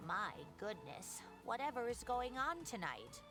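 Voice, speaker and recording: A woman's voice: on the high side, sing-song, unhurried. An actress voicing a genteel elderly woman in a video game, telling what she saw in a concerned, storytelling way. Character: elderly woman, a scholar's neighbor. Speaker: game character. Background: game music.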